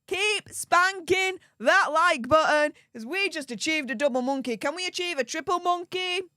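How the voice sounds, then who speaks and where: A woman's voice: very high-pitched, animated, moderate pace, sharp tone, no echo, clean studio sound. A woman with a Yorkshire accent hosting a live stream, reading out donations with perky, gushing enthusiasm. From Yorkshire, England.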